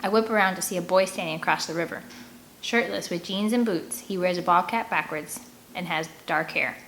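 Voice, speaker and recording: A woman narrating a first-person story in an animated, expressive read-aloud manner. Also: fairly low pitch, brisk, low-quality recording. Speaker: audiobook reader. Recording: clear background ambient noise.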